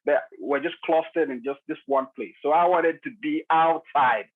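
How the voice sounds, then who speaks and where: A man's voice: fairly high pitch, moderate pace. A man in his thirties from Nigeria, telling his story as a podcast guest with many restarts and fillers and frequent chuckles. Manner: chuckles often, hesitant restarts, many "you know" fillers. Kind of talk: casual conversational storytelling. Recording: tinny phone-like line.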